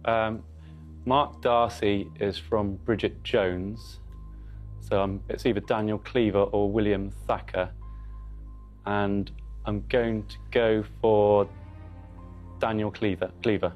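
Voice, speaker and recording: Man, thirties, quiz contestant, lowish voice, hesitant, faint music. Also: fairly even intonation, slow pace, long pauses, a little mellow. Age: 30s. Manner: hesitant, thinking aloud with ums. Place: television studio.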